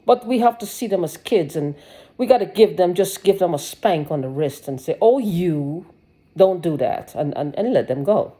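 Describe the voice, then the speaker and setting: A woman's voice: lowish voice, lively intonation, moderate pace, warm tone. A woman giving a rambling personal video monologue, agitated and sarcastic, swearing and restarting her sentences. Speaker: vlogger.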